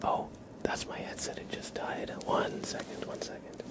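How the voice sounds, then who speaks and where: A man hosting a casual ASMR livestream, chatting to viewers in a soft, breathy, whispery voice with an unhurried, rambling manner. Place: room at home with a window.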